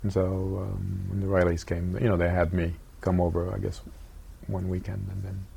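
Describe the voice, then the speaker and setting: Gravelly low-voiced older man, halting. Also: monotone, dark tone, a little hiss or hum. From Japan. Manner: halting, many pauses and fillers. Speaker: interviewee. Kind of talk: oral history interview.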